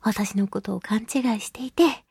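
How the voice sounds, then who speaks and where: A woman hosting a radio show solo, chatting casually and playfully to listeners with drawn-out words and colloquial asides. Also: mid-range voice, gravelly, darkish tone, breathy edge, no echo, studio quality. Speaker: radio show host, voice actress.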